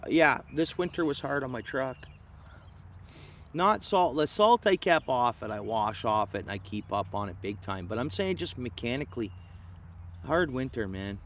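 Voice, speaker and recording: Outdoor ambience sits behind a man with an Ontario Canadian accent and a medium-pitched voice. He talks like a livestream host out fishing, chatting with viewers in loose, slangy, laid-back phrases. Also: lively intonation, moderate pace. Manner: laid-back slangy talk, says dude, man, bro. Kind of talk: casual live chat with viewers. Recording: clear outdoor ambient noise.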